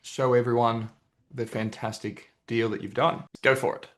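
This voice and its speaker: A man with a mid-pitched voice hosts a casual online interview. He speaks as a coach, introducing his guest and commenting in a conversational way. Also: fairly even intonation, normal speed. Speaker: host, property investment coach. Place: remote video call.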